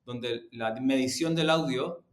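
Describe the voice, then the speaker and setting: A man with a Chilean accent answering interview questions in a measured, deliberate, halting way. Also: mid-range voice. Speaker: interview guest, audio production executive. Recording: roomy, echoey sound.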